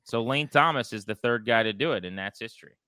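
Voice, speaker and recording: A man's voice: mid-range voice, fast, sharp tone, clean tone, no room sound, clean studio sound. An American man hosting a sports podcast, talking through baseball in a conversational way.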